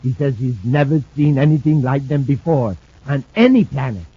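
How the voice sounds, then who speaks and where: A curious, anxious scientist doctor who keeps asking questions in a very husky, breathy voice. The sound is tinny and narrow, like an old radio broadcast heard through a phone. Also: male voice, mid-range voice, expressive, moderate pace, no room sound. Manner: inquisitive, questioning. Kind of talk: 1950s radio drama acting.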